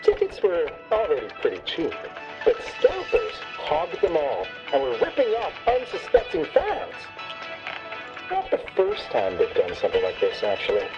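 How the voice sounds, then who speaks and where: A man's voice: a little high, wildly swooping pitch, moderate pace. A man voicing a boastful, jovial podcast guest in a video game, a showy storyteller heard through a radio filter. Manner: showy, swooping, hammy storyteller. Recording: dull radio sound over music.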